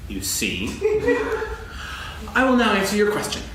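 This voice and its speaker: A man playing a grumbling, self-pitying storybook donkey in a staged reading, lecturing pompously with emphatic pauses. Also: sits high, wildly swooping pitch, pushed and bright, heavily acted. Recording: echoey stage, distant mic, faint noise.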